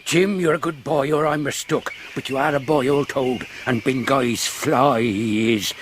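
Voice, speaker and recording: An actor playing a half-crazed marooned old sailor in a radio drama, rambling and repeating himself. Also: male voice, mid-range voice, fairly even intonation, unhurried, a little hiss or hum, lightly acted. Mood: wary, insistent.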